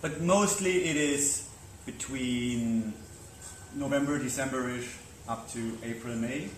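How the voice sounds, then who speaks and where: A man's voice: medium pitch, slow pace. Chatty interviewer man, roomy and noisy. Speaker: interviewer, sailing channel host. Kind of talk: casual interview questions. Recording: echoey veranda with background noise.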